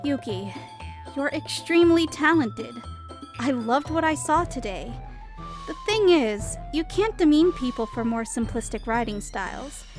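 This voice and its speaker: A woman's voice: sits high, lively intonation, unhurried. A woman playing an anxious, earnest young female student who narrates a visual novel audio drama in a dramatic, hesitant way. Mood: earnest, firm. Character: anxious, exasperated young female student, new club member. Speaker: voice actor narrating and playing the lead. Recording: music behind the voice.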